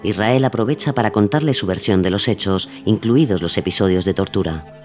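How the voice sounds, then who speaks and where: A man's voice: lowish voice, a little fast. A man delivering scripted documentary narration as the narrator of an audio series. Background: soft music.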